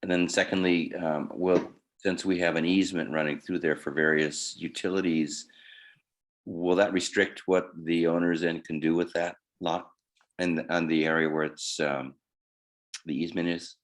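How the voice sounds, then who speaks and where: A hoarse man, a city councilmember, asking probing questions during a council meeting, restarting his sentences as he goes. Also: low-pitched, fairly even intonation, moderate pace.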